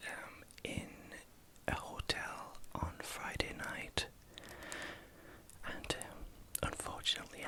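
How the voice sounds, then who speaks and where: A man with a Geordie accent from northeast England, speaking as an ASMR creator in a hesitant, halting way while recounting things. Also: medium pitch, rough recording. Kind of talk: whispered ASMR vlog, recounting.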